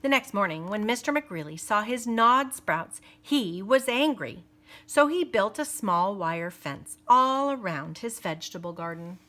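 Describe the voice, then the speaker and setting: A woman teacher reading a picture book aloud, pausing for teaching asides and dropping to playful whispers for suspense. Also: mid-range voice, lively intonation, bright, forceful tone.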